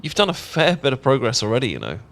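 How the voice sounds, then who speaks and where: A man's voice: mid-range voice, huge pitch swings, fast talker, a bit bright. A man with an English accent acting a bashful, affectionate young roommate in an intimate ASMR roleplay, chatty and stammering when flustered. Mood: fond, reflective. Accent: British, English. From England. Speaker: audio roleplay voice actor.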